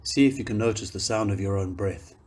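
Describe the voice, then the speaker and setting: A man with a low, somewhat husky voice leads a guided meditation. He gives gentle, calm instructions in unhurried phrases, leaving long pauses between them. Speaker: meditation guide, yoga teacher.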